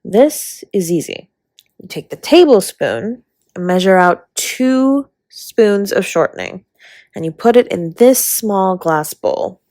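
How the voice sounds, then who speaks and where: A woman playing a flirty, doting dragon baker spouse in an intimate romantic audio roleplay, speaking in a husky, breathy, teasing way that often drops to a whisper. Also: medium pitch, lively intonation, takes it slowly, darkish tone, no room sound. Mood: playful, instructive.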